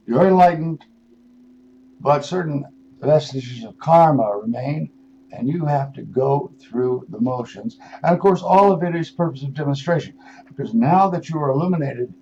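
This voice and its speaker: An older man, in his sixties or beyond, hosting a radio show with a rambling spiritual monologue, musing and halting, then leaning into words for emphasis. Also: a little high, mellow. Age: 60s or older. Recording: dull lo-fi radio audio with noise.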